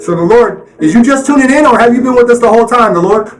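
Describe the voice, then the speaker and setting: A man's voice: extremely high pitch, animated, fast talker, recorded from a distance, soft background music, low-quality recording. A man hosting a live stream, chatting casually in slang and repeating "you know what I'm saying.". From Colorado.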